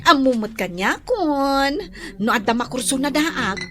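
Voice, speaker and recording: A woman's voice: high voice, animated, unhurried, very noisy. An actress playing a pushy young woman who pleads and giggles in a radio drama. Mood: gloating.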